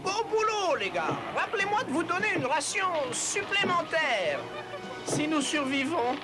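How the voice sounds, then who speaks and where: Excitable, grumbling little cartoon sidekick, very high-pitched, dramatic, over loud music. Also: unhurried, exaggerated voice. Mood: bossy, excited. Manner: dramatic, exclaiming, complaining. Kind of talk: French cartoon voice acting.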